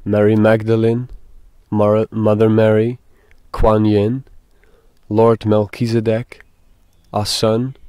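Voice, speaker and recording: Guy doing guided meditation, really flat delivery, dry close sound, halting phrases. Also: fairly low pitch, smooth, no room sound.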